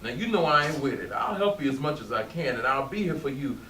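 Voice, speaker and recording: Loyal, pleading best friend played by a man, earnest, very varied pitch, echoey, distant reading. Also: animated, fast talker, pushed and bright. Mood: concerned. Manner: earnest, admonishing, colloquial. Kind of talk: stage play reading. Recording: echoey room, distant, hissy.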